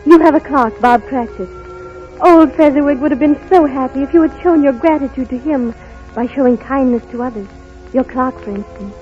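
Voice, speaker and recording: Very high, solemn reproachful spirit. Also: male voice. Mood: gently reproachful. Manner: measured, solemn, accusing. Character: solemn, reproachful Ghost of Christmas Past. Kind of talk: 1930s radio acting. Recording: muffled old radio broadcast, faint music.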